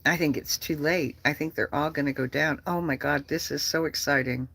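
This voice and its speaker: A livestream host chatting casually and rambling, with an animated, profane manner and a habit of repeating phrases. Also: medium pitch, normal speed, creaky, breathy edge.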